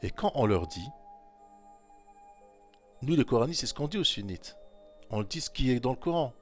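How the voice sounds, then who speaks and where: A man's voice: medium pitch, big pitch swings, moderate pace, faint music behind. A man giving informal commentary on scripture, repeating his points insistently and speaking directly to the listener. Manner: insistent, repetitive exhortation; talks straight to the listener. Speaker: religious commentator.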